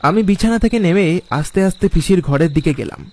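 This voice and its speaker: A man's voice: sits high, lively intonation, fast talker, darkish tone, soft background music. A man playing a frightened nephew, narrating a cartoon ghost tale in an anxious, suspenseful, dramatic way. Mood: uneasy.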